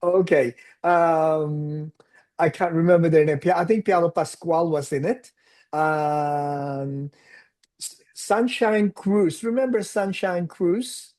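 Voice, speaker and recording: A man's voice: fairly high pitch, takes it slowly, a little mellow. Filipino-accented man in his sixties, storytelling. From Philippines. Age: 60s. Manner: reflective storytelling, mixes in Tagalog phrases. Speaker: actor, interview guest. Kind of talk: casual interview conversation.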